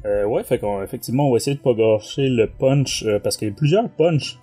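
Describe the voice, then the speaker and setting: A man's voice: medium pitch, lively intonation, fairly quick, warm tone, slightly rough, soft background music. A man with a Quebec French accent, casually discussing a book as a podcast co-host. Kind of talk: casual chat.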